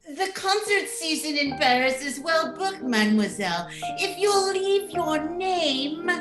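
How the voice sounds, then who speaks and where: A woman's voice: high-pitched, animated, measured pace. A woman playing a formal continental booking clerk in an old-time radio drama. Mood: formal, astonished. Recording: roomy home audio, music behind.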